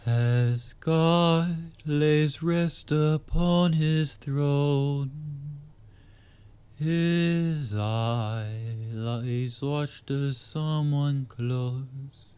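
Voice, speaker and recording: A man softly singing a slow, solemn lullaby that tells a story like a ballad. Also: fairly high pitch, smooth.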